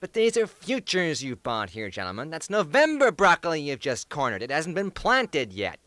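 Man playing a bright, harried broker. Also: a little high, wildly swooping pitch, moderate pace, no room sound. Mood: harried, polite. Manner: formal, polite, exasperated. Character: prim, harried male commodities broker. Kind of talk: satirical radio comedy acting.

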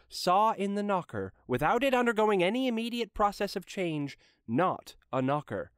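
A man's voice: a little high, no echo, studio quality. A man giving a dramatic scripted narration of a classic story.

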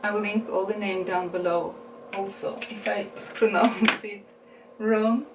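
Slow, chatty Norwegian-accented woman vlogger. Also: medium pitch, distant mic. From Norway. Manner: unhurried, chatty, self-correcting, laughs at her own English. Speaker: beauty vlogger. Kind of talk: casual makeup haul review. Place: small room indoors. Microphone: camera mic at a distance. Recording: roomy sound with a steady background hiss.

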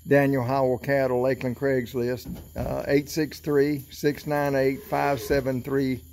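A man's voice: mid-range voice, level tone, darkish tone. A middle-aged man from Florida, walking through an open barn at night with a phone camera, talking casually and counting calves aloud. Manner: slow, unhurried country talk with fillers, counting animals aloud. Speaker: cattle seller filming his barn. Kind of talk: casual walkthrough vlog. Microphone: handheld phone camera. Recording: noisy outdoor barn phone recording.

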